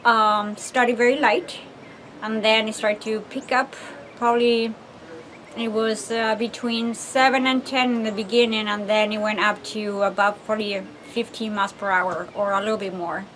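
A woman's voice: mid-range voice, fairly even intonation, moderate pace, a bit bright, slight background noise, rough recording. A woman in her forties who grew up in Argentina, giving a casual outdoor interview about a sailing race and explaining it step by step. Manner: thoughtful, explains step by step with fillers. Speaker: sailor interviewed at a regatta. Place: outdoors beside a building, trees and cars. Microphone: camera mic close up.

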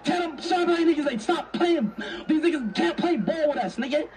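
A man playing a roleplay game on stream, excitable, slangy and profane, acting in character. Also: very high voice, normal speed, distant mic, noisy background.

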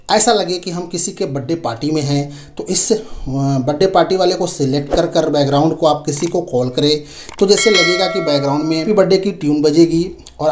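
A man's voice: fairly high pitch, a little mellow. A man with an Indian accent hosting an informal step-by-step tech tutorial, addressing viewers directly and repeating his points. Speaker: tech tutorial video host.

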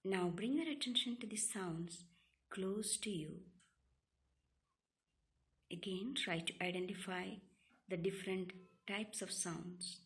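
A woman leads a guided relaxation meditation, giving calm, soothing instructions in short, separated phrases. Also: medium pitch, slow pace, pauses often. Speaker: yoga nidra meditation guide.

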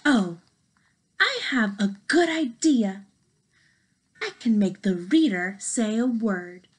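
A young woman reading a children's picture book aloud in an expressive, dramatic and playful manner. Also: mid-range voice, slow, slightly rough. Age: young adult. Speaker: storybook reader. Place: small bedroom, indoors.

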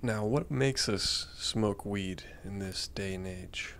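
A man's voice: lowish voice, slow pace, a bit bright, slight background noise. A young man giving a laid-back, mock-serious comedy monologue into a handheld mic in a small room. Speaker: comedy vlogger. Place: small room with green-screen backdrop. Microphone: handheld mic held close.

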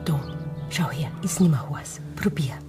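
An actress playing a gentle, coaxing farm woman in a radio crime drama. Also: sits low, expressive, a little slow, over music. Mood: tender, worried. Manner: soft, coaxing.

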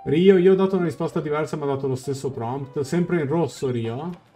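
Mellow young man streaming, thinking aloud. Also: a little high, fairly quick. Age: young adult. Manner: reflective, explanatory, thinking aloud. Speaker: game streamer. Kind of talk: live reaction commentary and translation, casual. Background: game music.

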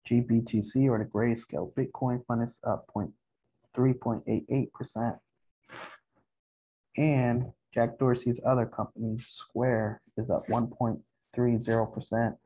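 Mellow, husky man with dull lo-fi sound. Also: mid-range voice, level tone, unhurried. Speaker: stock video host. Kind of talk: casual market update commentary. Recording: dull, muffled lo-fi home recording.

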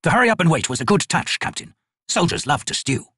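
Grizzled veteran commander, played by a male narrator, gruff husky growl, measured authority, fantasy audiobook. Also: on the high side, sing-song, sharp tone, dry recording, studio quality, voice pushed up, heavily acted. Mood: dry, stern.

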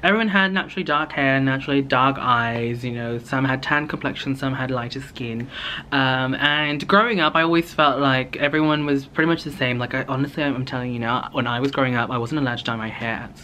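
A man's voice: mid-range voice, fairly quick, pushed and bright. Chatty young man vlogging, noisy room. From Hong Kong. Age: young adult. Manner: chatty, rambling, informal. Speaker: vlogger, beauty content creator. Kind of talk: casual chatty vlog to camera. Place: indoors in a home living space. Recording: clear background room noise.

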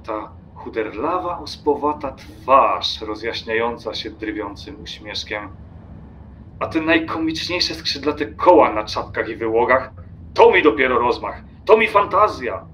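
Brooding, scornful passenger played by a man, theatrical and sardonic, mid-pitched, faint noise behind, radio drama. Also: animated, measured pace, sharp tone, poor audio. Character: irritable, brooding, disdainful solitary train traveller. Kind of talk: radio play acting.

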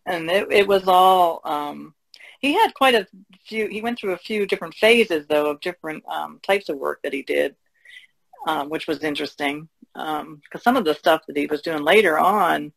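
Woman panelist with creaky voice. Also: sits low, moderate pace, rough recording. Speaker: podcast panelist. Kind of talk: casual art discussion.

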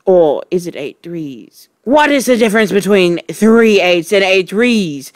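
A woman voicing a fierce, bullying headmistress in a storybook, barking in a loud, domineering, contemptuous way. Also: medium pitch, lively intonation, measured pace, dry recording, studio quality, close to an everyday voice.

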